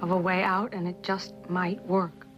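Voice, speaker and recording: A woman's voice: on the low side, little pitch movement, moderate pace, muffled recording, over loud music, lightly acted. A young actress playing a devoted, concerned woman in an intimate television drama scene, speaking earnestly. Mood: earnest, resolved. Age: young adult. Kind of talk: 1960s television drama acting.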